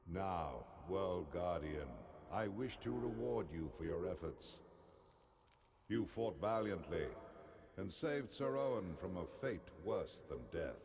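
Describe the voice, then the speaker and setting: An actor playing an ancient male god in a fantasy game, grave, deliberate and formal, with solemn regret and command. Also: low-pitched, expressive, gravelly, heavily acted. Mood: formal, grateful. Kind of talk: scripted fantasy game acting. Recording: noticeable background ambience noise.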